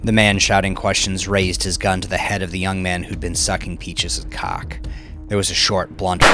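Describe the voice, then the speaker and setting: A man giving grave, deliberate, suspenseful narration of a crime story, with music underneath. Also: low voice. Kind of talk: dramatic scripted narration of crime fiction.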